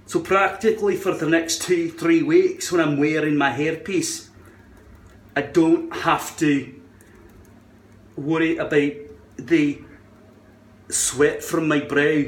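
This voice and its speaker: Slow-talking man with a shaky put-on Scottish accent. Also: a little high, steady tone. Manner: rambling, repeats words, sweary. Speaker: vlogger demonstrating a hairpiece adhesive product. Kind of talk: rambling casual product tutorial. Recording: roomy, echoing indoor sound.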